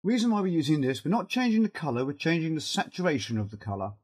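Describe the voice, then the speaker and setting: Man, middle-aged, husky voice worn by a cold, informal tutorial presenter, halting step-by-step delivery. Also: on the high side, expressive, a little mellow. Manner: halting, thinking aloud while working. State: has a cold, losing his voice. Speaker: video editing tutorial presenter.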